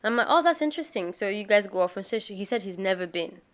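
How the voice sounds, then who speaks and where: A woman's voice: medium pitch, fast. A young Nigerian woman co-hosting a casual podcast chat, telling stories with emphatic reactions. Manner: animated storyteller, emphatic. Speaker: podcast co-host.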